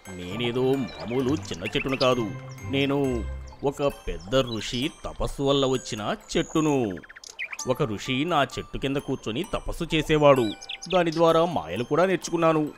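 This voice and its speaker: A man voicing a kindly, wise magical tree in an animated story, calm and reassuring. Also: moderate pace, lightly acted. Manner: calm, reassuring, booming laugh. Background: music.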